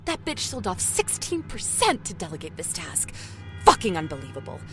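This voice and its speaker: A woman's voice: medium pitch, huge pitch swings, normal speed, over music. A woman acting in an audio drama as a vain, foul-mouthed, ruthless TV executive, theatrical and sarcastic. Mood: irritated.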